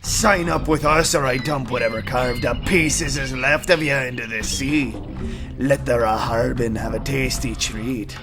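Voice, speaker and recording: An actor playing a brash, menacing press-gang officer in a fantasy audio drama, taunting and blunt. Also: male voice, medium pitch, unhurried, natural voice. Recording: loud music behind the voice.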